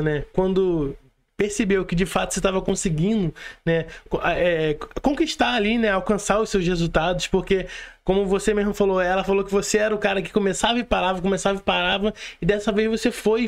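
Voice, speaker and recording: A Brazilian man hosting a casual interview, chatting informally with frequent tag questions. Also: sits high. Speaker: podcast host.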